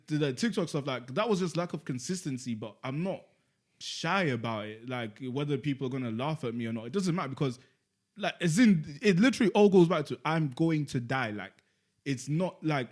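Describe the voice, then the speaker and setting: A man's voice: fairly high pitch, lively intonation, fairly quick. Young man musing aloud. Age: young adult. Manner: reflective, rambling, full of "like" and "you get me". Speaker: podcast co-host. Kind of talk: casual podcast chat.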